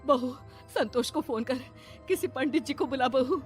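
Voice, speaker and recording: A woman's voice: high-pitched, normal speed, voice pushed up, close to an everyday voice. A woman voicing an anxious older mother-in-law with a dramatic, foreboding way of talking, in a horror story. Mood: urgent. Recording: music behind the voice.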